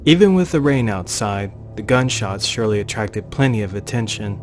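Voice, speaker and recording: A man reading a scripted horror story as a dramatic narrator, with theatrical, emphatic phrasing and deliberate pauses. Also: on the low side. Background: music.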